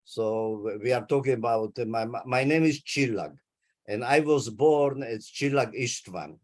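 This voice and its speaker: A man's voice: medium pitch, measured pace, darkish tone. A man in his eighties from Hungary, a native Hungarian speaker, carefully recounting his family history in an interview over a video call. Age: 80s. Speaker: interviewee, Holocaust survivor.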